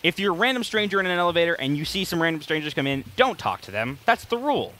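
A man with a fairly high, bright voice that swings up and down a lot. He chats casually as a gaming commentator, excitable and emphatic, often repeating words to drive a point home. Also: fast talker, a little hiss or hum. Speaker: gaming video creator. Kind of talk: casual co-commentary over gameplay.

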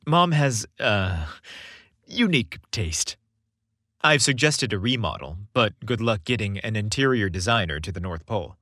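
A man's voice: lowish voice, big pitch swings, normal speed, bright, forceful tone, no echo. Witty young storybook son read by a man, wry, conversational, dry close sound.

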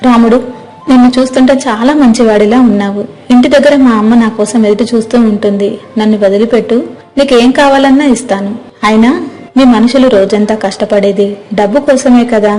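A woman voicing a young mermaid who politely pleads and bargains in an animated moral story. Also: fairly high pitch, brisk, soft background music, natural voice, low-quality recording. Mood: pleading.

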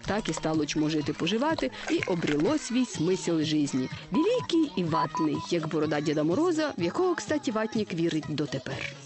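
Male cartoon narrator, ironic mock fairy-tale telling, very lively intonation, brisk pace, colloquial. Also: fairly high pitch. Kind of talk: scripted satirical storytelling for a cartoon. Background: music.

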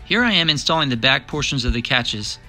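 Man narrating woodworking steps, calm. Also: mid-range voice, soft background music. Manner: plain, measured instructional tone. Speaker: DIY woodworking instructor. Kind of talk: scripted step-by-step voice-over narration.

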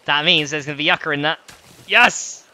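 A man's voice: on the high side, bright, forceful tone. A man hosting a livestream, narrating what he does in the game and joking with his co-players. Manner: narrates his own play, quick to laugh. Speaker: livestream host. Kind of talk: casual gaming chat.

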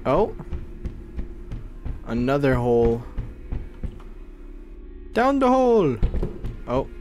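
Animated young man streaming a game. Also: medium pitch, slow, faint music behind. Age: 20s. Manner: excitable, jokey reactions. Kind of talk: casual live gameplay commentary. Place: small room indoors at a gaming setup.

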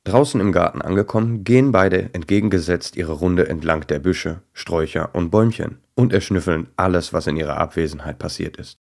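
A man with a low-pitched voice narrates a story for children. He is the storyteller, reading scripted narration. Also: fairly quick, creaky, few pauses, darkish tone.

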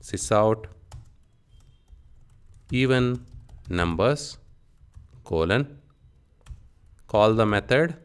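A man with a low, very clear and smooth voice teaches programming. He speaks in short instructional phrases, dictating each step of a software tutorial as he goes. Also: expressive, extremely slow, pauses often.

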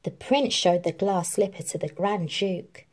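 A woman reading a children's storybook aloud in an expressive, animated narrating style. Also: fairly low pitch, a little slow, slightly rough. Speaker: storybook reader.

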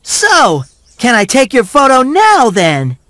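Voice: sits high, huge pitch swings, slow pace, fairly bright tone, dry recording, studio quality, heavily acted. An actor voicing a polite, earnest little male mouse hero in a game, neatly spoken and eager. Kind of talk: scripted game cutscene dialogue.

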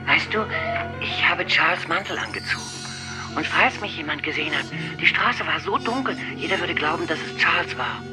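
Theatrical aging film diva; animated, music-laden soundtrack. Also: female voice, sits low, normal speed. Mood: pleading. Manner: affected, dramatic, says darling. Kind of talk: dubbed TV crime drama.

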